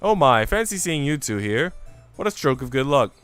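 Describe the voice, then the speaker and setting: A man's voice: medium pitch, big pitch swings, normal speed, a bit bright, smooth, faint music behind, voice pushed up. A man voicing a cruel, haughty young noble lady in a visual novel, imperious and taunting. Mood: smug.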